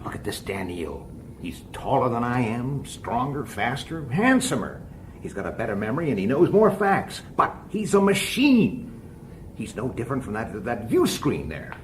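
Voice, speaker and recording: An actor playing a hot-tempered, impatient police detective in a radio drama, arguing emphatically and with exasperation. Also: male voice, mid-range voice, big pitch swings, normal speed, a little hiss or hum, close to an everyday voice. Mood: impassioned, argumentative. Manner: emphatic, exasperated delivery with rhetorical questions.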